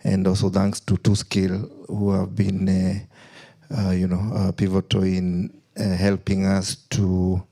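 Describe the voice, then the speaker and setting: A man's voice: sits low, monotone, mellow. A man with a Kenyan accent speaking as a company CEO on a conference panel, in formal remarks broken by frequent "uh" fillers. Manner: halting, heavy with "uh" fillers.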